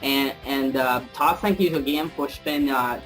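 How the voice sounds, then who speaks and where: A man's voice: mid-range voice, moderate pace, a bit bright, faint music behind, low-quality recording. A man hosting an interview, agreeable and encouraging, with short affirmations and fillers. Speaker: host, interviewer. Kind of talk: casual interview.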